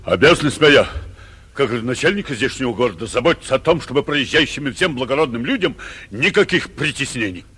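A man playing a middle-aged, frightened town mayor in a 1940s radio play, formal, halting and apologetic in a husky voice. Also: mid-range voice, animated, bright, forceful tone. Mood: nervous servility. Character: frightened, obsequious town mayor, officious and stammering. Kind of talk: 1940s theatrical radio acting.

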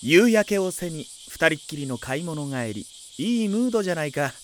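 A man's voice: a little high, very animated, moderate pace, a little hiss or hum. An actor playing a playful, lecherous middle-aged demon king in an anime audio drama, with flowery, teasing talk. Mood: teasing, suave. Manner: smooth-talking, flowery, teasing. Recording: music behind the voice.

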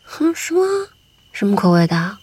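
An actress playing a cool, teasing young woman in an audio drama, with a husky, breathy voice. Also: medium pitch, sing-song, normal speed, a little mellow. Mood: dry, teasing. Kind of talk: Chinese audio drama acting.

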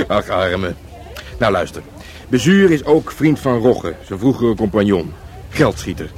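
An actor playing a laid-back police sergeant in a radio play, with a wry, teasing way of talking. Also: male voice, fairly low pitch, very animated, a little slow, darkish tone. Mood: amused, teasing.

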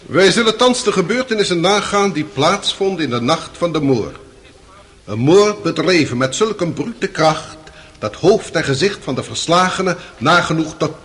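A man's voice: a little high, a bit bright. An actor playing a stern, pompous male prosecutor in a radio drama, formal and declamatory.

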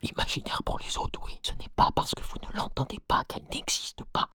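Actress as imaginary friend, whispered, bright and sharp, sardonic, snide, conspiratorial, audio drama aside. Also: female voice, a little fast, natural voice.

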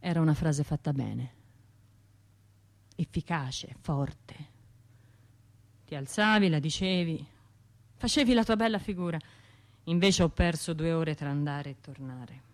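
A woman's voice: deep voice, lively intonation, a little slow, pauses often, darkish tone. An actress playing a troubled, sleepless Florentine woman in a radio monologue, with an intimate, brooding way of talking to herself in short broken phrases. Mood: self-reproachful.

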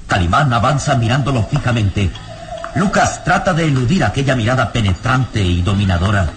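A man narrating a radio serial in a solemn, suspenseful style with deliberate phrasing. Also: medium pitch, lively intonation. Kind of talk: dramatic scripted radionovela narration. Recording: radio drama with clear music bed.

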